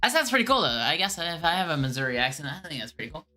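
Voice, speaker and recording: A woman streamer who does scam baiting, answering viewer questions in a halting, earnest way with frequent restarts. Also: sits low, very animated, pushed and bright, soft background music.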